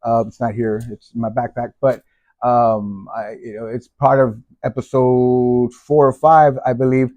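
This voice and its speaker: A man's voice: mid-range voice, flat delivery, unhurried, a little mellow, no room sound. A middle-aged man from Texas, vlogging in a rambling, casual way with many fillers and restarts. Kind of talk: casual faith-based vlog intro. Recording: dull, dry lo-fi sound.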